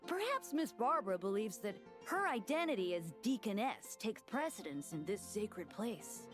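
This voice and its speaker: A woman's voice: mid-range voice, very animated, normal speed, lightly acted. A woman voicing a courteous, pious young church deacon in a fantasy video game, with a polite, formal and composed manner. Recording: music behind the voice.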